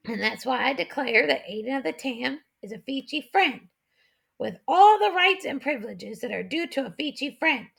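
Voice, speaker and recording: A hearty old chief of a swamp tribe, played by a woman narrator, proud and ceremonious in a storybook adventure. The voice is high and gravelly, with rustic backwoods grammar and a folksy, speechmaking delivery. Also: lively intonation, moderate pace, fairly bright tone, full character voice. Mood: proud, declaiming. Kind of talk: children's adventure audiobook character voice.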